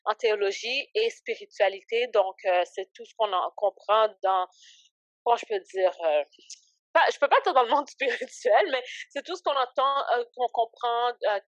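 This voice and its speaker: A woman's voice: a little high, moderate pace, no room sound, lo-fi sound. A woman in her late twenties, born in Haiti and raised in Canada, chatting as an interview guest, hesitant with many fillers and laughing easily. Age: 20s. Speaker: guest, ministry founder. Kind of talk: casual interview chat. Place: Ottawa.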